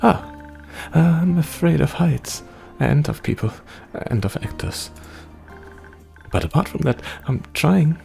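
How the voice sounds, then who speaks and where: A man voicing an excitable, anxious young forest dweller who longs to be a bard, rambling and self-deprecating, in a fantasy game. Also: fairly high pitch, takes it slowly, vocal fry, soft background music, voice pushed up, full character voice. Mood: flustered, earnest.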